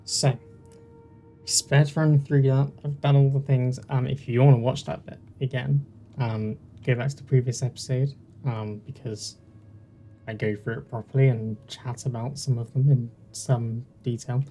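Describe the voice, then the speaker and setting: Creaky, mellow-voiced game streamer with music behind. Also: mid-range voice, flat delivery, a little slow. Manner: self-deprecating, rambling, mildly awkward. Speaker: host of a video game playthrough. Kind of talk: casual commentary while playing a game. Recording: poor-quality home mic, game music behind.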